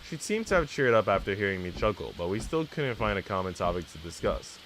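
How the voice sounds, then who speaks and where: A man's voice: fairly low pitch, sing-song, fast, a bit bright, slight background noise, poor audio. A man live-streaming, reading a visual novel aloud and chatting casually with viewers in a slangy, teasing way.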